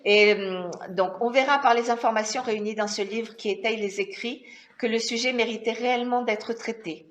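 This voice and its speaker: A woman's voice: fairly high pitch, steady tone, moderate pace. A woman author presenting her book at a talk, partly reading aloud and adding spoken asides. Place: indoor talk venue. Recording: echoey room.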